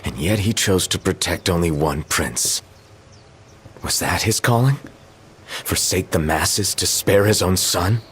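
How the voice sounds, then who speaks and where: A man's voice: deep voice, animated, unhurried, fairly bright tone, slightly rough, full character voice. An actor playing a young, bitter, defiant prince grieving his father in a video game cutscene. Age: young adult.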